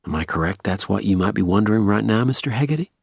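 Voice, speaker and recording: A man's voice: on the low side, expressive, quick pace, gravelly, breathy edge, no echo, studio quality, exaggerated voice. A man voicing a polite but menacing Southern American heavy, courtly with a steely edge, in a Southern US accent. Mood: probing.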